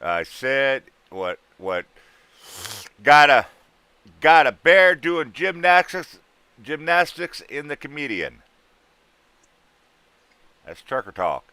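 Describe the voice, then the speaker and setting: A man's voice: on the high side, big pitch swings, slow pace, pauses often, pushed and bright, clear, resonant tone, dry recording. A man in his thirties, hosting a livestream and bantering with guests in trucker slang. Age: 30s. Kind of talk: casual livestream chat.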